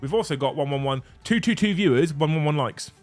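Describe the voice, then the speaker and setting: A man's voice: a little high, expressive, a little fast, soft background music. Bantering man hosting a livestream. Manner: banter, swears freely, laughs often. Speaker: livestream host. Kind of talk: casual livestream chat.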